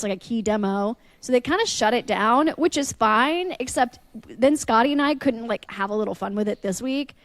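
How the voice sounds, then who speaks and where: Chatty, higher-pitched woman telling stories. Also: dry recording. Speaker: radio host and podcast co-host. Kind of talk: casual podcast storytelling.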